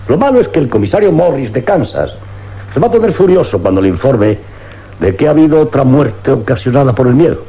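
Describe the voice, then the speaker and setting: An actor playing a baffled, troubled police inspector in a dramatic radio play. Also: male voice, medium pitch, very animated, fairly quick. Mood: baffled, weary. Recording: dull old-style radio recording.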